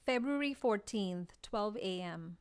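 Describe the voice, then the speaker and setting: Woman playing gentle young woman, medium pitch. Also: lively intonation, a little slow, natural voice. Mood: gently cautioning. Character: gently discouraging twenty-six-year-old female lion tamer. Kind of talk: radio drama letter monologue.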